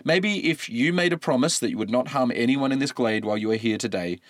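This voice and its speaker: A man's voice: mid-range voice, lively intonation, brisk, no room sound, clean studio sound, voice pushed up, close to an everyday voice. A man doing an earnest clever warrior. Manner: pleading, earnest.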